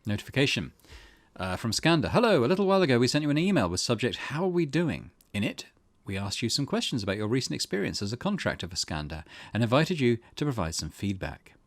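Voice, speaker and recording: A man's voice: medium pitch, very animated, quick pace, no echo. A man hosting a let's play, reading game text aloud and commenting on it in a thoughtful, musing way.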